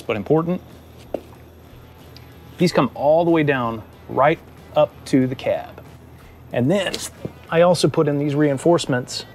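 A man in his thirties, hosting his own car build channel, casually explaining his work with fillers and restarts, filmed by a nearby camera. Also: mid-range voice. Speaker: car builder and shop host. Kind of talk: casual how-to walkthrough. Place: outdoors under a truck, then a home garage.

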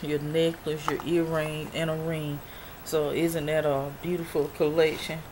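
A woman in her forties showing off mail she received, chatty and rambling with many ums, her voice a little worn. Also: low voice. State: losing her voice. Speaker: product review vlogger. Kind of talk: casual show-and-tell haul video. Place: small dim bedroom, indoors. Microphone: built-in webcam mic at arm's length. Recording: noisy webcam recording.

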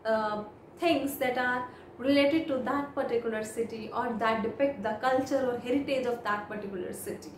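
A woman in her thirties with an Indian English accent, reading exam questions aloud and answering them as model replies, in steady, formal, rehearsed phrasing. She speaks close to a camera in a small room. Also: sits high, reverberant, slight background noise, rough recording. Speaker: IELTS speaking practice demonstrator.

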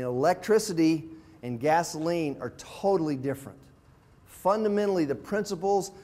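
Older man, higher-pitched voice, teaching a group. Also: darkish tone. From from abroad, visiting South Africa. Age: older, around 60. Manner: explanatory, plain-spoken. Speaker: pastor. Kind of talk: informal teaching talk to a group. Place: indoor classroom with whiteboard and screen. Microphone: lapel mic.

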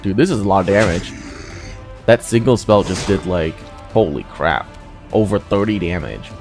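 A man's voice: low-pitched, expressive, normal speed. A man doing casual gameplay commentary, reacting with slangy exclamations while he plays. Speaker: gamer playing and reacting. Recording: game music behind the voice. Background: video game music.

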